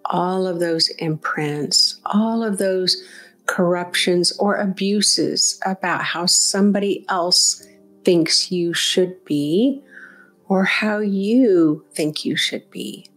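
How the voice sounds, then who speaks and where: A woman leading a guided meditation as a teacher, speaking off the cuff in a gentle, encouraging, conversational way. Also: fairly low pitch, slow pace, soft background music.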